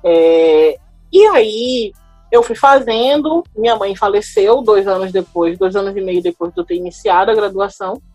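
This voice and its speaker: A woman's voice: medium pitch, animated, normal speed, darkish tone, no room sound, soft background music. A woman in her thirties from Salvador, Brazil, a lawyer telling her own story on a podcast, thoughtful and hesitant with many fillers and restarts. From Salvador, Bahia, Brazil. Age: 30s. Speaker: guest lawyer. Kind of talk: podcast interview, personal story.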